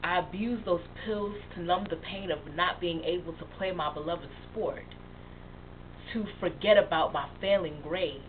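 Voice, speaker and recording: An actress playing a young woman who haltingly and emotionally confesses a past addiction to her best friend. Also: lowish voice, lightly acted. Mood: recounting. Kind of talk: dramatic monologue. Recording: tinny phone-like sound with faint hiss.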